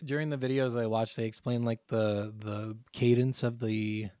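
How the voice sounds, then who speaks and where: A man's voice: mid-range voice, fairly even intonation, moderate pace, mellow, dry recording, clean studio sound. A man co-hosting a gaming podcast in casual conversation, blunt and informal, with frequent swearing. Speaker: podcast co-host.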